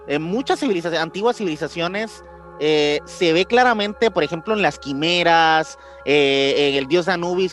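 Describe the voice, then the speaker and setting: A Mexican man with a fairly high voice co-hosts a casual podcast. He talks in slang and often doubles back on his words while thinking aloud. Faint music plays under his speech. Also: a bit bright. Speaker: podcast co-host. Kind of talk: casual conspiracy podcast chat.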